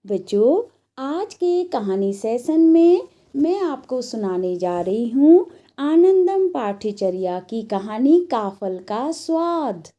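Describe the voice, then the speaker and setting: Very bright-voiced woman teaching children, high-pitched. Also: very animated. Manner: deliberate, instructional, addressing children directly. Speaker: teacher telling children a story. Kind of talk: slow scripted storytelling lesson for children.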